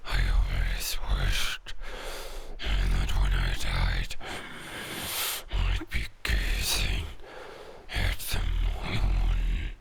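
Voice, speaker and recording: A man voicing a weary, melancholic dying werewolf in an audio drama, speaking slowly and solemnly in a gravelly, breathy growl. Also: a bass voice, completely flat delivery, noisy background, exaggerated voice. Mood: weary, wistful. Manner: slow, solemn, poetic. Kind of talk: slow dramatic audio roleplay monologue.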